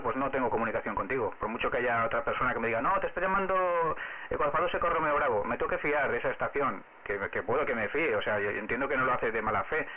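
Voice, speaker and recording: A man with a Spanish accent from Spain talks as an amateur radio operator in casual, explanatory conversation. His pitch is average and his delivery is quick. The sound is narrow and phone-like. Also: slight background noise.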